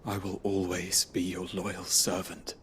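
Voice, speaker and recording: An actor playing a prince's devoted soldier and servant in a video game, speaking formally and deferentially. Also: male voice, low voice, completely flat delivery, a little slow, fairly bright tone, a little hiss or hum. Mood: earnest, gentle. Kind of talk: dramatic video game cutscene acting.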